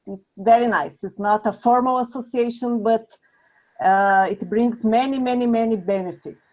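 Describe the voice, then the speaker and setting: A woman co-presenting an informal webinar, explaining things in a measured way over low-fidelity online audio. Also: darkish tone, dull audio.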